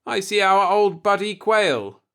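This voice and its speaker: Guy putting on a cocky veteran space officer for an audiobook, blunt, stubborn, voice pushed up high. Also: lively intonation, measured pace, pushed and bright, clean tone, heavily acted.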